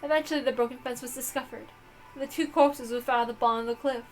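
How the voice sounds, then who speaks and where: A woman's voice: on the high side, steady tone, fast, faint music behind, natural voice. A woman voicing a proud, guilt-ridden mother confessing murder in a dramatic, emotional visual novel read-aloud. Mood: somber, remorseful. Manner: theatrical, emotional, swinging from hushed to impassioned.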